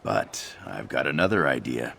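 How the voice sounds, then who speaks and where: A man's voice: very deep voice, big pitch swings, normal speed, vocal fry, a bit bright, slight background noise, full character voice. A man playing a cheerful, ambitious young orc trader in scripted video game dialogue. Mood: thoughtful, hopeful.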